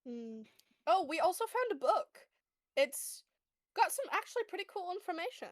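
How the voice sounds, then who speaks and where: A woman's voice: very high voice, sing-song, normal speed, no room sound, studio quality. A woman playing in a tabletop role-playing game, chatting casually.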